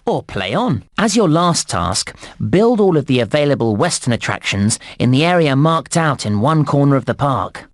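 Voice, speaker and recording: A man playing a cheerful, encouraging theme park guide in a game, giving scripted instructions in a clear presenter's delivery. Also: mid-range voice, no echo, clean studio sound, lightly acted. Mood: helpful, instructive. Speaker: game narrator and tutorial guide.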